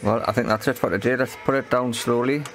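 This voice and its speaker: A man casually explaining a hands-on tech repair in a matter-of-fact, halting way. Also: medium pitch, steady tone, over music. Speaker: repair video presenter.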